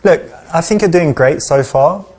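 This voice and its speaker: A man playing a friendly, wealthy office boss in live-action game cutscenes, talking in a casual, halting way. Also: on the high side, darkish tone, lightly acted. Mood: friendly, easygoing. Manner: casual, halting conversational delivery. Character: laid-back, friendly male office boss, wealthy businessman.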